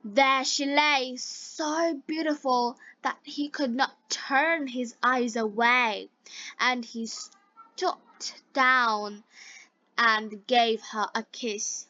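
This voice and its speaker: A woman reading a fairy tale as scripted narration for language learners, in halting, deliberate short phrases. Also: high voice, steady tone, pushed and bright, no echo, dull audio. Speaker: storyteller for English learners.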